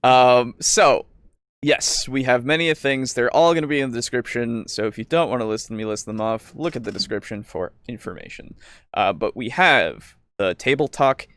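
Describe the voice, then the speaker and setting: A man's voice: medium pitch, moderate pace, a bit bright, dry recording. A man hosting a podcast, talking casually in an informal, rambling way and prone to chuckling. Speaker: podcast host. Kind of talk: casual podcast chat and show sign-off.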